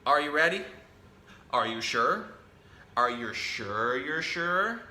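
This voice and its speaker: A man hosting a children's storytime, reading a picture book aloud in a playful, expressive way and talking directly to young listeners. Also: on the high side, slow. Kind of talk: animated read-aloud of a children's picture book with chatty asides to a pet parrot. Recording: roomy echo, faint background noise.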